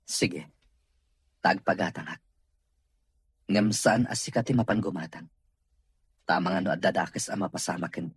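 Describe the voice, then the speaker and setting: A man playing a grateful, earnest boss recovering in therapy, in an Ilocano radio drama, with a Filipino accent and a husky voice. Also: sits low, moderate pace, pauses often, dry recording, full character voice. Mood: hesitant, trusting.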